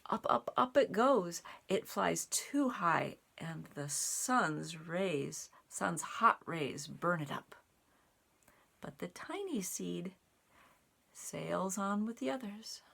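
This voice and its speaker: Slow, expressive woman reading to children. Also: lowish voice, gravelly. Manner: slow, deliberate phrasing with short pauses and animated emphasis. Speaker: storyteller reading a children's picture book aloud with signing. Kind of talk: expressive read-aloud for young children.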